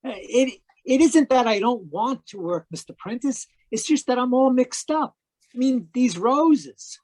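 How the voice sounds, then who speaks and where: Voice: mid-range voice, expressive, a little slow, a little mellow. An actor playing a confused, deferential boy who helps in the garden, hesitant and questioning, in old-time radio drama style. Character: tired, confused, deferential young male garden helper. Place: live video call performance. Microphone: video call microphone.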